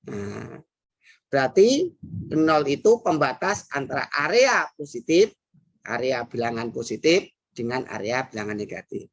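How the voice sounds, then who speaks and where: A woman's voice: low voice, breathy edge. A woman teaching a math lesson with an Indonesian accent, working through problems patiently and repeating key phrases, with frequent "nah" and "hmm". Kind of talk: recorded classroom math lesson.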